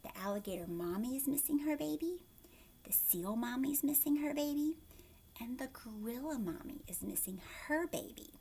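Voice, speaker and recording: A woman teacher reading a storybook aloud to children, expressive and addressing young listeners directly. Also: sits high, moderate pace, creaky, breathy edge.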